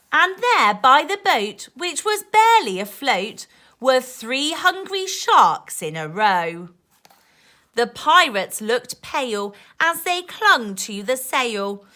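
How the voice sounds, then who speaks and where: Animated woman reading a children's story, bright voice. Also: mid-range voice. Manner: animated, emphatic, sing-song rhyming delivery. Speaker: teacher reading a picture book to young children. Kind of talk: expressive children's storytime reading with asides to listeners.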